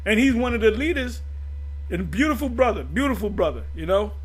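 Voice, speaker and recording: A man's voice: sits high, a little fast, breathy edge, poor audio. A man leading informal religious commentary, talking animatedly and bluntly, repeating himself and swearing. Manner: animated, repetitive, blunt with profanity. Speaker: religious group teacher.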